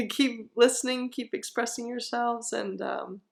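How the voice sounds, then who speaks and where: A woman's voice: on the high side, steady tone, unhurried, creaky. A woman, a guest musician, talking casually in an interview. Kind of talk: casual chat.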